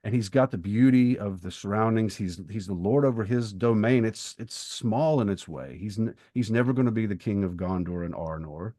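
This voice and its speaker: A man co-hosting a podcast in casual literary discussion, speaking in a reflective, halting way with emphatic pauses. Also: on the low side, dark tone, no echo, clean studio sound. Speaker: podcast co-host.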